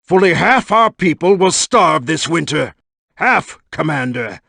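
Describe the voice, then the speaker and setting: A grim, anxious minister from a fantasy video game. The voice is somewhat high and gravelly, with formal, weighty phrasing. The sound is dry and studio-close. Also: male voice, very animated, unhurried, pushed and bright, dry recording, exaggerated voice. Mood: grim, worried. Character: grim, anxious government minister of a starving kingdom.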